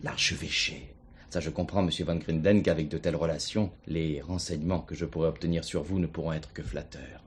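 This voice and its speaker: An actor playing a calm, shrewd police commissioner in a French stage thriller, questioning with deliberate politeness. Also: male voice, rumbling low, slightly rough. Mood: politely probing. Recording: 1970s stage play recording, some hiss.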